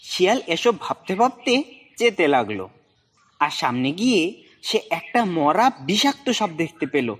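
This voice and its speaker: A storyteller narrates a children's animal fable from a script. The voice sits in a middle register and moves up and down with very lively intonation. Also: mid-range voice, moderate pace, slightly rough.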